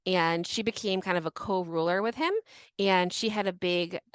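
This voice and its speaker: Young female podcast co-host, casual storyteller, low-ish voice, dry close mic. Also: normal speed, clear, resonant tone, no echo. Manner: chatty, laughs often, many "like" fillers.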